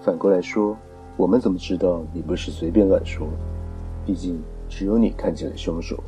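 Casting: skeptical young male student, acted by a man, deep, mellow and composed, for a radio mystery. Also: fairly even intonation, normal speed, soft background music, lightly acted, rough recording. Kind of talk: mystery radio drama acting.

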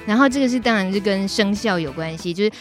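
A woman's voice: mid-range voice, fast, soft background music. A middle-aged woman with a Taiwanese Mandarin accent, hosting a radio show in a casual, teasing, chuckling way. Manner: playful, teasing, often chuckling.

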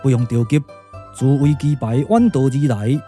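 A man voicing a grave older lord and strategist in a puppet radio drama, speaking slowly and with authority. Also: mid-range voice, animated, warm tone, faint music behind, voice pushed down, close to an everyday voice. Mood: grave, commanding. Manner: slow, deliberate, authoritative.

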